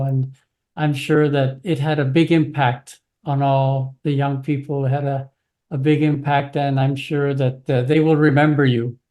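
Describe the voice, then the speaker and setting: A man hosting a podcast interview, speaking in a gentle, encouraging way. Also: mid-range voice. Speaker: podcast host. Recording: echoey room.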